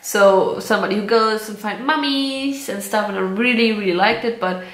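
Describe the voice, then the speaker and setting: A woman's voice: mid-range voice, normal speed. Chatty young woman, roomy echo. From Germany. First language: German. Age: 20s. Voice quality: chatty, candid, laughs often, swears casually. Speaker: vlogger. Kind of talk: casual chat to camera. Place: at home, indoor room.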